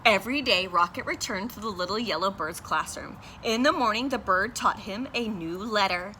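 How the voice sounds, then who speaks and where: A woman's voice: medium pitch, normal speed, bright, forceful tone, slight background noise, poor audio. A woman teacher reading a picture book aloud to her class, sounding out and spelling words letter by letter.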